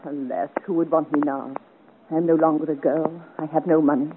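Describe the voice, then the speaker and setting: An actress playing a timid, gentle widowed companion to a noblewoman, hesitant and meek, in a 1950s radio serial. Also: deep voice, fairly even intonation, a little fast, lightly acted. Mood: meek, sorrowful. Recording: hissy, muffled old radio recording.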